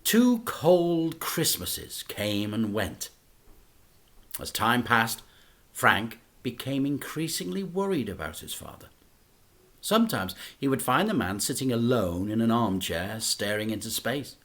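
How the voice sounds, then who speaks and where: A man, a teacher, reading a children's book aloud in an expressive, dramatic storytelling manner. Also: medium pitch, a little slow.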